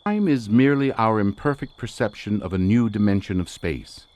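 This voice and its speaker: A man acts out an eccentric, obsessive scholar of the occult for a radio suspense play. His low voice rises and falls dramatically as he lectures in grandiloquent, theatrical phrases. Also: animated, moderate pace, a little mellow, dry recording, lightly acted. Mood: visionary fervor. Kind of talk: old-time radio suspense drama acting.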